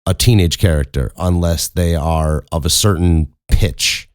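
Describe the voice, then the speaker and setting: A young man hosting a casual Q and A, with a very low speaking voice, rambling and self-mocking and swearing casually. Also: unhurried, no room sound, clean studio sound. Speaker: podcast host and voice actor. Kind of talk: casual Q and A chat.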